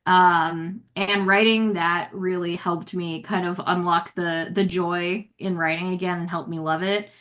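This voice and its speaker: Low-pitched American woman chatting casually. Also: steady tone, unhurried, hardly any pauses, fairly bright tone. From United States. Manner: frequent "like" and "you know", reflective storytelling. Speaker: guest author. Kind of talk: casual interview chat.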